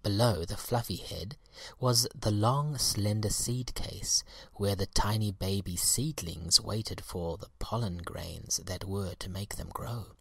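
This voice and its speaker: Male reader for children's story, husky and breathy, measured expressive narration, close dry recording. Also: fairly low pitch, no room sound.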